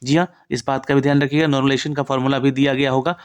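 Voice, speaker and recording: Man, medium pitch, informal explainer, instructive, frequent reminders and check-ins. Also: level tone, very fast, smooth. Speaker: presenter of a job exam information channel. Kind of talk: informal explainer, walking viewers through an official notice.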